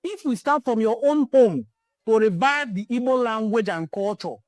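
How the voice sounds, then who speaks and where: A woman's voice: fairly high pitch, lively intonation, moderate pace, darkish tone, no echo, studio quality. A Nigerian woman hosting a cultural channel, delivering an impassioned address built on repeated rallying calls. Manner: rallying, repetitive exhortations.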